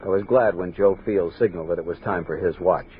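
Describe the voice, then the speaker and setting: An actor playing a resolute, watchful army captain who narrates a western radio drama in measured, earnest tones. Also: male voice, low voice, lo-fi sound, close to an everyday voice. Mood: grave, uneasy. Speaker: narrator and lead character. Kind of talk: 1960s western radio drama acting, first-person narration. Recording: noisy, band-limited 1960s radio recording.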